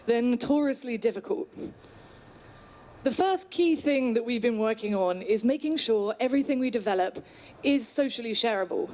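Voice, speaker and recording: A British woman in her thirties, from the UK, giving an upbeat presentation at a trade conference with some filler pauses. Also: fairly high pitch, a little mellow. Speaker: conference speaker. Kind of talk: presentation talk.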